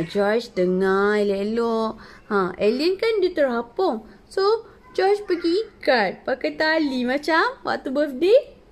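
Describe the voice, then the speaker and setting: High-pitched, animated Malaysian woman reading aloud. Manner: animated, explaining simply and repeating for a child. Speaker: parent reading to a young child. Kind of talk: picture-book read-aloud with explanations. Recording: noisy home phone recording.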